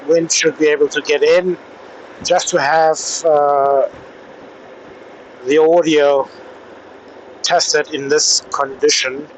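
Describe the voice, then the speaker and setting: A man with a slightly high voice speaks at a slow, halting pace in a casual riding vlog. He is recorded on a helmet intercom mic, with faint vehicle noise behind him. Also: rough recording. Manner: hesitant, halting delivery with pauses. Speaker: motorcycle vlogger testing gear. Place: outdoors, riding a motorcycle in traffic. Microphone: helmet intercom microphone.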